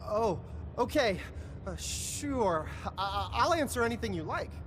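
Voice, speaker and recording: An actor playing a naive, eager-to-please wasteland settler in a video game, stammering and earnest. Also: male voice, high-pitched, very animated, unhurried, background noise. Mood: eager, nervous. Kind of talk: video game character dialogue.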